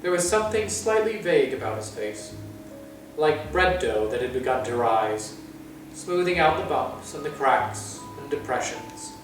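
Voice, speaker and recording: A man reading a children's story aloud as narrator, with measured, expressive phrasing. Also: mid-range voice. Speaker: storytime reader. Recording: echoey room, far from mic, music.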